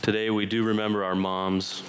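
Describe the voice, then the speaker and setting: A deep-voiced man leads a church in prayer, speaking in short, reverent phrases. A faint hum of room ambience sits behind him. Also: flat delivery, moderate pace. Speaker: worship leader. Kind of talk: spoken prayer. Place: church sanctuary.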